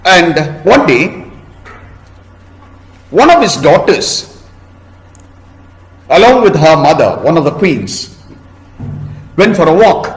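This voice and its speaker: A man telling a story to children in a teacherly way, pausing to ask questions and explain words. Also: a little high, very animated, echoey room, low-quality recording. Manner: didactic, asks listeners questions and explains words.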